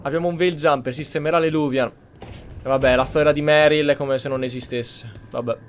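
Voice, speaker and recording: A man's voice: on the high side, steady tone, quick pace, background noise. A man giving casual commentary as he plays and roleplays through a video game. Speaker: gamer, roleplay series host. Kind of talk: casual Let's Play commentary.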